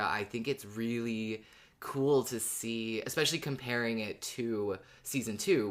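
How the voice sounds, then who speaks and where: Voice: mid-range voice, a bit bright. A podcast co-host chatting casually in a playful, joking manner.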